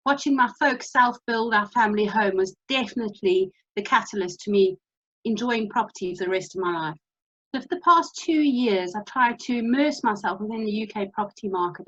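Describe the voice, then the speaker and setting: A woman from South Africa with a South African accent, giving a brisk, practical presentation over a video call. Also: on the high side, dull audio. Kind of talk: presentation talk.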